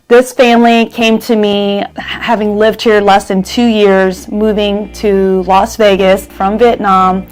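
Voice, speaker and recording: Woman, from the United States, middle pitch, earnest, measured delivery, personal testimonial, real estate professional, music underneath. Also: flat delivery, clear, resonant tone, low-quality recording. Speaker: real estate agent and mortgage loan originator.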